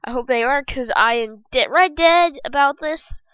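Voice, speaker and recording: Young host, squeaky and bright, chatty and self-correcting; dry close bedroom sound, slightly dull audio. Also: female voice, normal speed, no echo. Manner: rambling, jokey, self-correcting. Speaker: podcast host. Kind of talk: casual solo gaming podcast.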